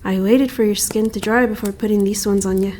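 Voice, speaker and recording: Soft, mellow female winter spirit, acted by a woman. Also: mid-range voice, steady tone, slight background noise, lightly acted. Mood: calm, reassuring. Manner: formal, archaic, measured phrasing. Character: calm, kindly female winter spirit guarding her snowy mountain land. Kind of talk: roleplay ASMR acting.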